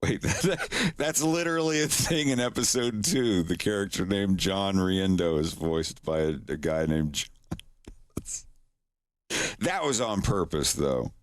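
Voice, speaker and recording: Very deep-voiced man, rambling stream chat. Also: a little slow, no echo. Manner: rambling, chuckling, self-deprecating. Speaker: streamer, animator. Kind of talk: casual livestream chat. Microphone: desk microphone.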